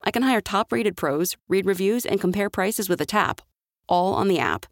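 A woman reading a scripted advertisement voice-over, slow and deliberate. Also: mid-range voice, expressive, no room sound, clean studio sound. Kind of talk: scripted ad read.

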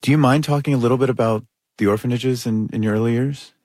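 An interviewer asking gentle, sympathetic questions. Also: mid-range voice, steady tone, quick pace, no room sound, clean studio sound.

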